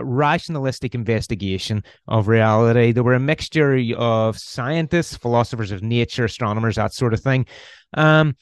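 A man's voice: mid-range voice, smooth, dry recording. Belfast-accented man explaining philosophy. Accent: Northern Irish, Belfast. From Northern Ireland. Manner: hesitant, many ums and restarts. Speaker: guest philosopher. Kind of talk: conversational explanation.

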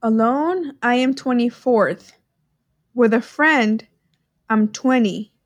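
Podcast co-host, woman, higher voice, dry home recording, animated and joking. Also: takes it slowly, no echo. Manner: animated, exclaims, jokes around. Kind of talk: casual chat solving riddles. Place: at home in her parents' house.

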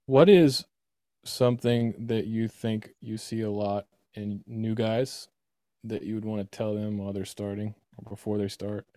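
A man's voice: on the low side, fairly even intonation, unhurried, warm tone, no room sound. A man hosting a podcast, asking long, rambling questions in casual conversation. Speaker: podcast host. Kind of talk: casual interview chat.

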